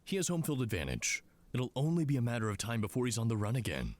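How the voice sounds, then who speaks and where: An actor playing a smug, condescending intelligence commander in a scripted drama, talking down to people in a mocking way. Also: male voice, mid-range voice, wildly swooping pitch, quick pace, dry recording. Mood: smug, calculating. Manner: condescending, mocking, drawling asides.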